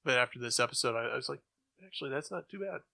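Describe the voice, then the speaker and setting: Bright-voiced man in casual conversation. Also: mid-range voice, lively intonation, rapid-fire, vocal fry. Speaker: podcast co-host. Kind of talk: casual chat.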